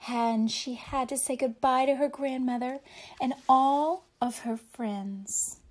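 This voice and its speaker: A woman's voice: high-pitched, slow. A woman reading a picture book aloud expressively for young children, explaining things simply like a teacher.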